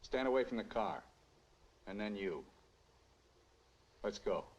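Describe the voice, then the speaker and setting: A man's voice: animated, slow pace, heavily acted. An actor playing a cold-blooded American gunman in a 1950s crime film, giving curt orders in tough, slangy speech. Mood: cold, menacing. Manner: curt barked commands, tough slangy grammar. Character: menacing, cold-blooded male gunman holding drivers captive. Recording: muffled old film soundtrack, noisy car rumble.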